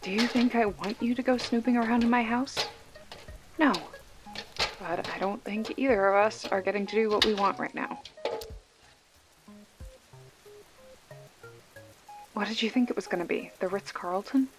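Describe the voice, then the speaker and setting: A woman's voice: mid-range voice, fairly quick, close to an everyday voice. A woman plays a young female video store clerk in an ASMR mystery drama, talking as if on a phone call. Her mid-range voice is dry and sarcastic, loose with swearing, yet protective underneath. Music runs behind her. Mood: sarcastic, exasperated. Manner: wry, snarky, casual with swearing. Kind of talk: ASMR roleplay acting, one-sided phone call.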